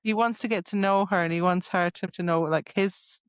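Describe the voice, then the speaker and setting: A woman's voice: fairly low pitch, fairly even intonation, moderate pace, smooth, no echo, muffled recording. A woman co-hosting a podcast, chatting casually about books in a talkative way, with lots of "like" asides. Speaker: podcast co-host. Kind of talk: casual book discussion.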